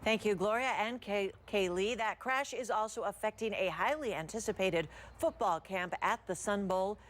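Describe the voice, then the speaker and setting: A woman's voice: mid-range voice, moderate pace. A woman with an American accent reading scripted television news as an anchor.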